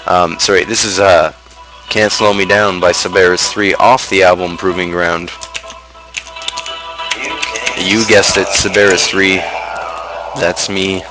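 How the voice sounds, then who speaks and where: Male streamer, low voice, rambling and self-mocking chat over clearly audible music. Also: fairly even intonation, slow, long pauses, poor audio. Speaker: streamer and show host. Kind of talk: casual livestream chat.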